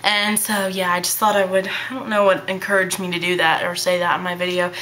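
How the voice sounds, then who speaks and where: A teenage girl vlogging to her webcam in her bedroom, talking fast and hyper in a childlike baby voice, full of fillers. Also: fairly low pitch, little pitch movement, sharp tone, slight background noise. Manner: bubbly, hyper, chatty with many fillers. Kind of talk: casual chat to camera. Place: small bedroom indoors. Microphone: webcam at arm's length.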